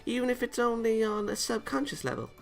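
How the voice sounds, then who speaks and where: A man's voice: extremely high pitch, sing-song, fairly quick, creaky, soft background music, voice pushed up, full character voice. A man voicing bickering, exasperated young angel girls in a visual novel, with an indignant, huffy manner. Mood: insistent. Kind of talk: visual novel character reading.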